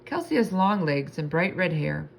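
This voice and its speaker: A woman reading a children's book aloud in an animated, expressive storytelling manner. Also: lowish voice, normal speed, gravelly, a little hiss or hum.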